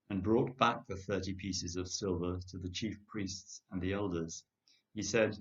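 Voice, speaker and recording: An older man reads scripture aloud in a fairly low voice. His delivery is measured and deliberate. The recording is slightly muffled and lo-fi, made at home. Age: older, 60s or above. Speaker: church congregation member reading scripture. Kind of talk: scripture reading, narration of a Gospel. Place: indoor home kitchen. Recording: dull lo-fi home webcam sound.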